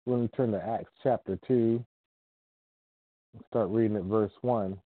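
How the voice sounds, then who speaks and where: A man's voice: fairly low pitch, steady tone, no room sound. Man preaching over a tinny line. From Ohio, United States. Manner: earnest, unhurried, repeats himself. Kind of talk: informal sermon with scripture reading. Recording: dry tinny phone-like sound.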